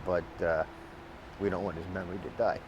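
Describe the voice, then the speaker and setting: A middle-aged man in an unscripted interview, talking haltingly as he searches for words. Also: deep voice, fairly even intonation, highs cut off, noisy background. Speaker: interviewee, bereaved father.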